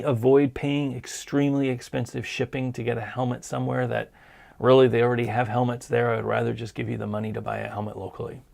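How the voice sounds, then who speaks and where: A man hosting a casual live-stream show, hoarse from a sinus cold, talking in an earnest, encouraging way. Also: medium pitch, a little mellow. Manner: earnest, encouraging, plain-spoken. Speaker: podcast host.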